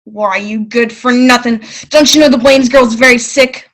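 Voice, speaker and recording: A harsh woman druggist sunk in grief and drink, played by an actress. Her voice sits slightly high for a woman, delivered with old-time radio drama intensity. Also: normal speed, a bit bright, slight background noise, lightly acted, low-quality recording. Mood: angry, drunken. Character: harsh, grief-stricken, drunken woman druggist, mother of a grown son.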